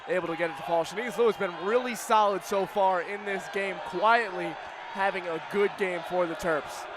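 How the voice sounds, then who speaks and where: A man's voice: sits high, normal speed, crowd noise. A man giving enthusiastic, informal color commentary on a live basketball radio broadcast, projecting over the noise. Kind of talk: conversational sports analysis. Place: courtside in a basketball arena. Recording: loud background noise of a gym. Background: arena noise, bouncing ball.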